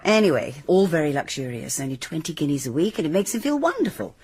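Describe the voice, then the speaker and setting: An actress with a British accent playing a chatty, teasing secretary in a radio drama, with a husky voice. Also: female voice, low-pitched, big pitch swings, fast, a little mellow, a little hiss or hum. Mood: confiding.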